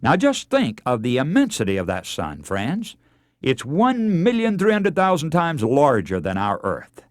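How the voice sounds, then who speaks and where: Animated radio preacher, a man. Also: a little high, dry recording, clean studio sound. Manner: earnest, emphatic, deliberate enunciation. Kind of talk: radio sermon, oratorical lecture with scripture readings.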